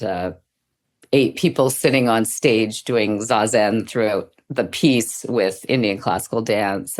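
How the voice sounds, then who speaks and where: Thoughtful middle-aged woman in conversation. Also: extremely low pitch. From Sri Lanka. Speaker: guest, dance teacher and Zen practitioner. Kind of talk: reflective conversational interview.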